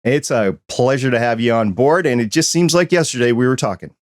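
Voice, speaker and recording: A man hosts a hobby podcast in an upbeat, newsy way, running through announcements before chatting with his guest. His voice sits at a middle pitch. It is recorded close and dry, with no room sound. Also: brisk, no echo. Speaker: podcast host. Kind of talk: casual podcast intro and interview.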